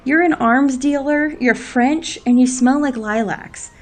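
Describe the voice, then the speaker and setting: An actress playing a weary, commanding leader in an audio drama, with firm, dryly sarcastic delivery. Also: female voice, sits high, measured pace. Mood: dry, sardonic. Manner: firm, dry, sardonic.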